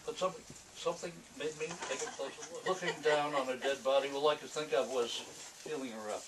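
A man's voice: mid-range voice, fast talker, lightly acted. An old American man reads out a rattled noir narrator, lecherous and full of excuses. He ruminates and repeats himself defensively, recorded from a distance in a noisy room. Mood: brooding, evasive. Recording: distant camera mic, clearly noisy room.

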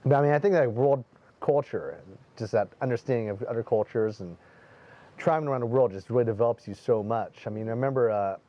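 A man's voice: medium pitch, fast talker, lo-fi sound. A middle-aged man hosting an outdoor interview, talkative and enthusiastic, sharing anecdotes between his questions. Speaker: host. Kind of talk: casual interview chat. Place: outdoor terrace with garden.

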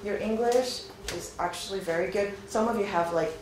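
A woman's voice: medium pitch, normal speed, a little mellow. A woman in her thirties, born in Venezuela and raised in Spain, giving an upbeat, chatty recruiting presentation to a class of students and often asking them questions, recorded from a far camera. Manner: upbeat, chatty, asks the audience frequent questions. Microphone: far camera, no visible mic. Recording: very echoey classroom, faint noise.

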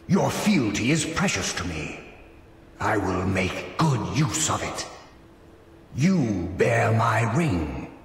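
An imperious ghostly stag spirit from a fantasy game. The delivery is slow and grand with sweeping pitch, every phrase ringing in a long, cavernous reverb. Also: male voice, very animated, full character voice. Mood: solemn, imperious. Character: majestic spirit of the hunt in stag form, solemn and commanding. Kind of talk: fantasy video game acting. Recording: echoing hall-like reverb.

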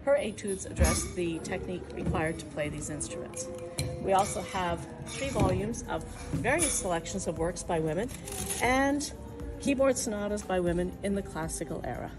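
A woman from a music publisher, giving a measured, informative product pitch at a convention booth. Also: expressive. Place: convention exhibit hall.

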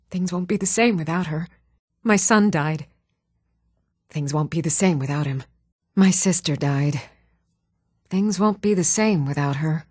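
An actress playing an earnest, even-tempered townswoman in a scripted fantasy video game. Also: low-pitched, no room sound, clean studio sound.

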